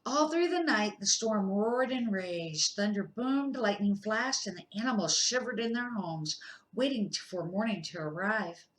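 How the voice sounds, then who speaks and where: An older woman reading a children's picture book aloud in an expressive, deliberate storytelling manner. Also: medium pitch, poor audio. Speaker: amateur picture-book reader for grandchildren.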